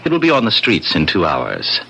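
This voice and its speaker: A man's voice: low voice, huge pitch swings, fairly quick, vocal fry, sharp tone, exaggerated voice. A man playing a suave, sly devil posing as a courteous businessman, speaking in urbane formal phrases in 1970s radio drama style. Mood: smooth, sly. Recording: noisy vintage radio broadcast.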